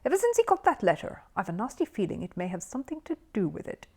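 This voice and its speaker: A woman's voice: mid-range voice, very animated, fast talker, mellow, slightly rough. A woman voicing a suspicious, forthright older housekeeper in a children's storybook, emphatic and ominous.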